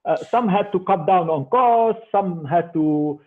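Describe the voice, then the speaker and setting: A man's voice: high-pitched, a little slow. An older man speaking as an investor guest in an interview, emphatic and repeating his words, with many fillers. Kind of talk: interview over a remote call. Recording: tinny phone-like call audio.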